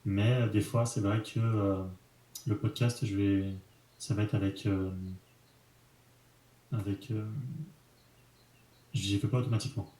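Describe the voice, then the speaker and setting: A man's voice: on the low side, level tone, a little slow, pauses often, dark tone, echoey room. A man, an entrepreneur speaking as the guest in a casual interview, frank and colloquial with many fillers.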